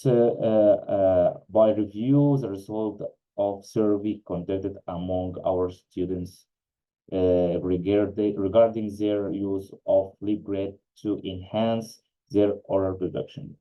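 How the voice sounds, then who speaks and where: Egyptian-born man, presents research slowly with many pauses; deep, warm tone. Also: low-quality recording. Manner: hesitant, many uh fillers. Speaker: conference presenter. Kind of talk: academic presentation.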